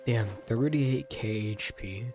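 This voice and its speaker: A man gives casual live commentary as he plays a game. He talks slowly at a middling pitch, muttering and thinking aloud, with faint game music behind him. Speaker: game streamer.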